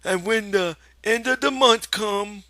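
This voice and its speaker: A man voicing a big, childlike, simple-minded man who is pleading and excitable, whiny, with a rural American accent. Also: sits high, a little slow, no echo, voice pushed up, full character voice. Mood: eager.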